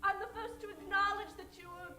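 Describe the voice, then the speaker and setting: Male performer as princess, extremely high, delicate, pleading, echoey, radio comedy. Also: steady tone, brisk, sharp tone, exaggerated voice. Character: soft-spoken, pleading princess. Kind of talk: improvised old-time radio comedy acting.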